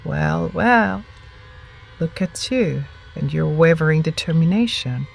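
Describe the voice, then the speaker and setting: A woman's voice: deep voice, expressive, vocal fry, a little hiss or hum, full character voice. A woman playing an ancient, starved vampire witch in an audio drama, cruel and mocking, with theatrical menace. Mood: taunting. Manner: slow, taunting, theatrical menace.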